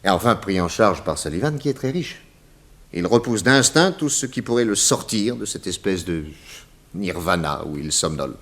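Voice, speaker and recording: Give a 1960s radio serial its detective boss. He is confident and professorial, with a lowish voice that rises and falls dramatically. He stresses key words and pauses for theatrical effect. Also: animated, a bit bright. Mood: insistent, didactic. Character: confident male detective boss explaining crimes to his assistant.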